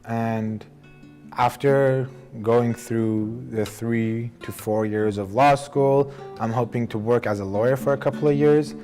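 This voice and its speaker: Young guy, persian accent, talks slow and careful, mid pitch, soft music in the back. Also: fairly even intonation. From Iran. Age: young adult. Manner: earnest, measured, with self-corrections. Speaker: documentary subject. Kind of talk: reflective personal interview.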